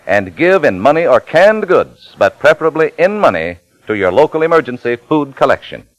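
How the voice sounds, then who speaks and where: A man announcing and reading ads on a 1940s radio drama, smooth and persuasive. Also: on the high side, sing-song, moderate pace, rough recording. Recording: dry old 1940s radio recording.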